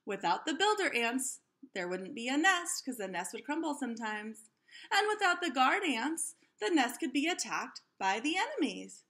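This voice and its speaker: A high-voiced woman reads a children's storybook aloud with very lively intonation. She sounds like a teacher, pausing to put questions to her young listeners and explain.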